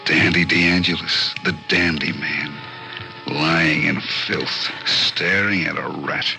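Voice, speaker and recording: A man narrating an old radio thriller in a grim, suspenseful, deliberate manner. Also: low-pitched, steady tone, gravelly. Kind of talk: dramatic scripted narration, 1940s-50s radio thriller. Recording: old radio recording, loud music behind.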